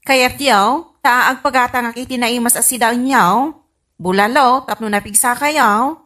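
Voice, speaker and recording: A woman with an Ilocano accent playing a fussing, easily shocked adult daughter in a comedy radio drama, questioning and expressive. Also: fairly high pitch, a little slow. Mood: coaxing.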